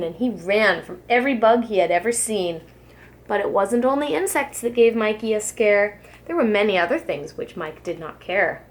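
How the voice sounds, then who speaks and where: A woman, a preschool teacher, reads a children's storybook aloud in an expressive, sing-song rhyming delivery. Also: a little high.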